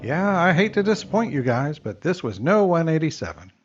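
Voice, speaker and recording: A man playing a folksy husky coroner. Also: on the high side, sing-song, fairly quick, muffled recording, faint music behind. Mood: explaining. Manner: folksy, explanatory. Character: folksy male coroner explaining a death.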